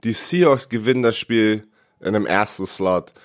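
A man's voice: on the low side, moderate pace. Congested man, stammering sports chat. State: sick, congested. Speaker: podcast co-host.

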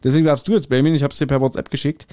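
A man's voice: mid-range voice, fairly even intonation, very fast, warm tone, dry recording, studio quality. A man co-hosting a podcast, chatting casually in blunt, slangy language. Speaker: podcast co-host. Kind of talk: casual chat reviewing an audio drama. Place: remote recording at a computer, on video call.

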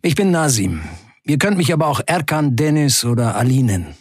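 An actor playing a male Turkish guest worker in a radio play, looking back in measured, short sentences. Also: fairly high pitch, big pitch swings, husky, dry recording. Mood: reflective. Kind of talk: scripted radio play monologue.

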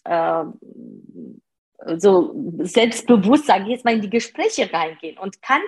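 A woman's voice: medium pitch, animated, measured pace, darkish tone, breathy edge, rough recording. A woman in her thirties answering interview questions earnestly, hesitating and searching for words with many fillers. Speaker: podcast guest, part-time manager.

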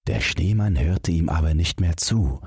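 A man's voice: low voice, animated, unhurried, a little mellow, breathy edge, no room sound, clean studio sound. A man reading a scripted bedtime fairy tale as an audiobook narrator.

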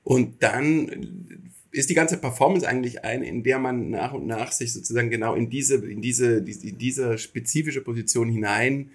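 A man's voice: medium pitch, normal speed, slightly rough. A man speaking as an interview guest, explaining things casually and reflectively while searching for his words. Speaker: interview guest, theatre maker.

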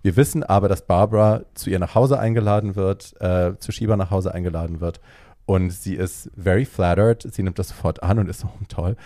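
A man co-hosting a podcast, casually retelling a film's plot in a lively way and dropping in English phrases. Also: sits low, fairly quick, no echo, studio quality. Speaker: podcast co-host. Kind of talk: casual chat retelling a film.

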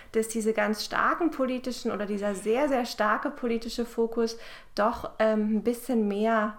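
A woman gives an expert's explanation. She speaks off the cuff, in careful, qualified phrases. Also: fairly high pitch. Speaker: literary scholar, expert. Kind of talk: spontaneous expert interview soundbite.

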